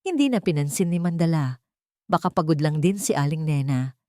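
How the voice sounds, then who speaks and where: A woman narrates a dramatic story in a lowish voice. Her pitch moves widely as she reads, and the sound is close and dry. The delivery is scripted and expressive. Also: fairly quick, no echo.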